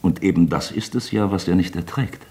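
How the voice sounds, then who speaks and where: A man's voice: deep voice, brisk, a little mellow, lightly acted. An actor in a German radio drama playing a wily, talkative, mock-genial male magistrate who toys with his suspect, insinuating and falsely chummy. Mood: mocking, probing.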